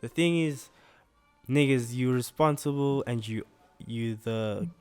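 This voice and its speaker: A man's voice: medium pitch, a little slow, a little mellow, clean tone, soft background music. A young man co-hosting a casual podcast chat, laid-back and full of slang, tagging lines with "you know" and "bro". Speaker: podcast co-host.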